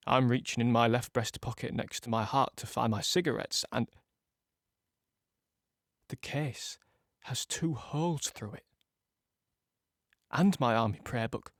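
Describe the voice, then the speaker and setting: An actor playing a young wounded British soldier in an audio drama, reliving battle in a halting present-tense monologue with repeated words. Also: male voice, medium pitch, dry recording, clean studio sound, close to an everyday voice. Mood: dazed, reflective. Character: young British soldier, wounded in Normandy, shaken but dogged.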